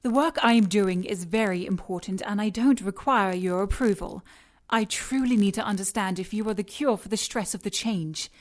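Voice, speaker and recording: Woman playing a poised scientist villainess, medium pitch. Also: moderate pace, lightly acted. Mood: driven, smug. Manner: composed, formal, condescending. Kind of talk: audio drama acting.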